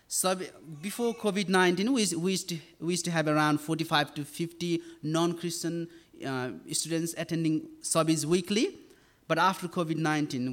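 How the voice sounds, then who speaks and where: A young man from Nepal speaks to a church congregation with a voice set a little high for a man. He is earnest and halting, pausing on fillers and restarting his sentences as he goes. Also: fairly bright tone. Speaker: campus ministry worker. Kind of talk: church talk and sermon.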